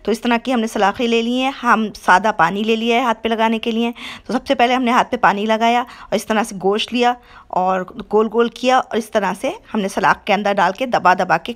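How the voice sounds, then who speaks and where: Homely woman explaining a home recipe. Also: mid-range voice. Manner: homely, repetitive explaining with frequent self-corrections. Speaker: home cooking channel host. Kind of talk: step-by-step cooking instructions. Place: home kitchen.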